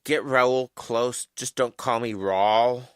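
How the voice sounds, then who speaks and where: A man's voice: medium pitch, steady tone, slow pace, clear, resonant tone, no echo, studio quality. A man co-hosting a fan podcast, chatting casually and explaining things carefully. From Mexican-American family. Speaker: podcast co-host. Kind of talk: casual chat.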